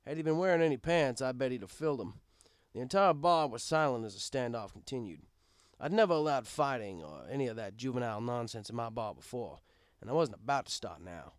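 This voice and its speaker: A man's voice: mid-range voice, expressive, fairly quick, hardly any pauses, no room sound, clean studio sound. A man reading a first-person story aloud as narrator, in a conversational, wry storytelling style.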